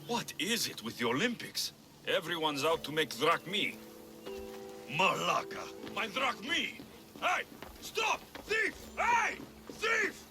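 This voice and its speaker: A man's voice: high voice, sing-song, slow pace, exaggerated voice. A man playing a wry, direct, protective ancient Greek mercenary in a video game. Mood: angry, shouting. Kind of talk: video game character acting.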